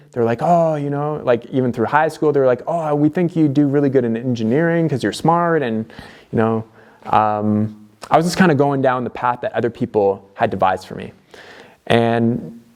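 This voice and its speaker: A man's voice: medium pitch, a little mellow. A young Canadian man with a Canadian accent, talking earnestly to camera in a conversational motivational vlog, with plenty of fillers and restarts. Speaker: DIY vlogger.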